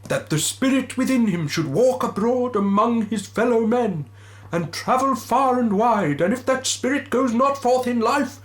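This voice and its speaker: A man voicing a tormented male ghost in chains, with a high, quavering, drawn-out wail. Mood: mournful wailing.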